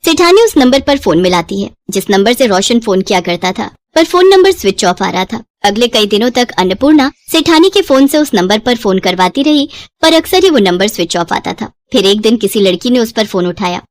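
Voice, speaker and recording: A woman's voice: fairly high pitch, animated, fairly quick, dry recording. A woman reading a scripted moral story as its narrator.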